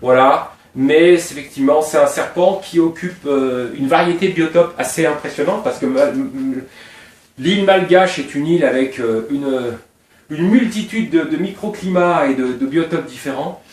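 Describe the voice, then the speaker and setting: A man's voice: a little high, moderate pace. A middle-aged man from Réunion Island, a snake breeder, talking informally and at length, piling up details as he explains his animals. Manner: talkative, digressive, piles up details. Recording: roomy sound from a distance.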